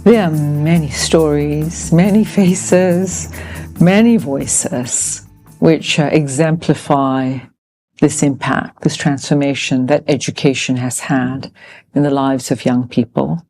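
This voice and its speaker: Interview speaker, mellow tone, mid pitch, deliberate pace with uhs. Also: fairly even intonation, faint music behind. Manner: deliberate, hesitant with fillers. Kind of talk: interview recollection.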